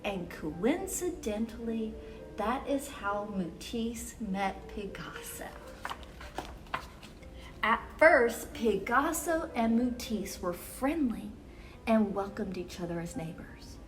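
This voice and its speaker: A woman reading a picture book aloud to children as a storyteller, expressive and exclamatory, with dramatic emphasis on key words. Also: fairly high pitch, takes it slowly, far from the mic, music in the background. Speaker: children's storyteller and event host. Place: University museum gallery.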